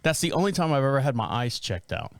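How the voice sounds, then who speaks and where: Lively laughing middle-aged man, dry mic. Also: mid-range voice, fast talker, no room sound. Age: 40s. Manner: animated, laughing storyteller. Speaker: podcast co-host. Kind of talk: casual chat.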